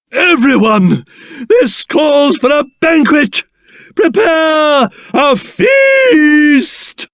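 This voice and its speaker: A man voicing a pompous, fussy, excitable little old king in a video game, speaking theatrically with old-fashioned flair. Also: extremely high pitch, no echo, clean studio sound, heavily acted. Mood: jubilant. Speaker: voice actor.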